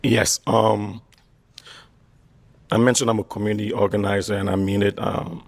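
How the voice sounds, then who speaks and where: Young guy w/ chadian french accent, kinda husky n gravelly, keeps restarting his sentences. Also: fairly low pitch, little pitch movement, normal speed, dry recording. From Chad. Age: young adult. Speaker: contestant on a dating show. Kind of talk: casual chat.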